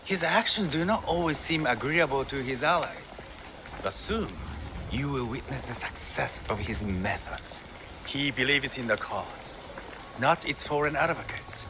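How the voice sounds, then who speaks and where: Male actor as grandiose smooth-talking recruiter, medium pitch, theatrical florid phrasing, zealous servant, video game cutscene, noisy ambience. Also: unhurried, natural voice. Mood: zealous, assured.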